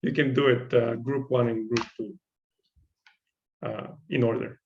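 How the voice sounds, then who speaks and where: A man's voice: medium pitch, fairly even intonation, unhurried, gravelly, lo-fi sound, slight background noise. Bulgarian-accented man giving encouraging feedback. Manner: encouraging, thoughtful, searching for words. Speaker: workshop instructor. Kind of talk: giving feedback on student designs.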